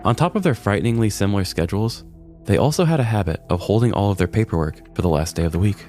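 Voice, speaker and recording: A man's voice: lowish voice, expressive, fast talker, darkish tone. A man narrating a scripted comedic story as a podcast host, in a wry, mock-serious manner. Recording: music bed behind the voice. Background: background music.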